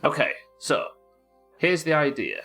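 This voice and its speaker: A man's voice: on the high side, animated, unhurried, vocal fry, pushed and bright, husky, soft background music. A man with a head cold, sniffly as he casually narrates his live gameplay, thinking aloud and chatting to viewers and his in-game pets. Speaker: livestreaming gamer.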